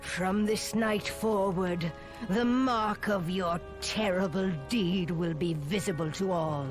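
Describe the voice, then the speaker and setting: A woman's voice: mid-range voice, slow, over music, close to an everyday voice. An actress playing a stern female oracle in a video game, ominous and formal, as if laying a curse. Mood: condemning.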